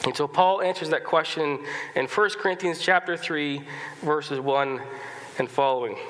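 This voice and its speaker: A male pastor preaching a sermon with a stuffy nose from a cold, talking conversationally with many restarts, coughs and throat-clearing. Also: a little high, moderate pace, a bit bright. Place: church sanctuary. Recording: long reverb of a church hall.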